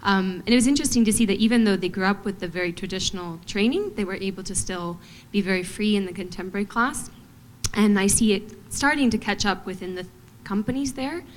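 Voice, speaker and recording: A young adult woman from Montana, a ballet artist answering on a panel in a thoughtful, measured way with frequent pauses and fillers. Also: medium pitch, a little hiss or hum, poor audio. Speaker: guest artist, ballet dancer.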